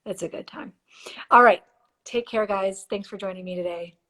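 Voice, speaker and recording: A woman hosting a live stream, chatting and giving oracle card readings, her voice a little hoarse. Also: medium pitch, normal speed. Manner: warm, chatty, reads card texts softly. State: slightly hoarse, losing her voice. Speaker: podcast host and intuitive reader. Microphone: phone or computer mic for a live stream.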